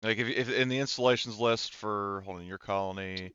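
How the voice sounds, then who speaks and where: A man casually narrating and explaining a tabletop game as game master, haltingly thinking aloud. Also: on the low side, a bit bright, muffled recording.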